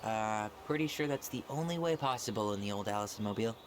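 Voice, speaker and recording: An actor playing an easygoing young American man in a video game, speaking casually and with gentle, understated humor. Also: fairly low pitch, expressive, fast, noisy background, lightly acted. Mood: joking, warm. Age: young adult. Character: easygoing young man, twin brother leaving his childhood home. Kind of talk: naturalistic video game dialogue.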